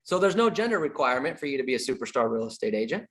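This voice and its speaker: A man's voice: medium pitch, very animated, racing. A middle-aged man giving a sales training talk as a real estate coach, emphatic, repeating his key points and joking with the audience.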